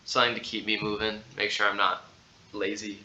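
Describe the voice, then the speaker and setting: Sharp teenage male voice, dull echoey recording. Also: on the low side, flat delivery, fairly quick. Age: teenage high school senior. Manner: modest, informal, hedging. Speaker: student athlete guest. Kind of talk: casual chat. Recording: dull, echoey sound with faint noise.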